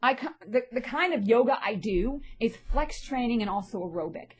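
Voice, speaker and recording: Blunt, husky-voiced woman ranting. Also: a little high, animated, moderate pace. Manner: blunt, indignant and confrontational. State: stuffy from a sinus infection. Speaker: vlogger and actress. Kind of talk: ranting personal vlog monologue.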